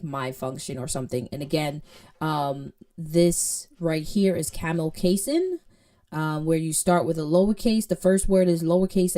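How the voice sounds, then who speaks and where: A woman's voice: low-pitched, warm tone. Playful Jamaican woman teaching code. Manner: playful, chatty, checks in with listeners often. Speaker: coding tutor. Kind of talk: casual screen-share tutorial.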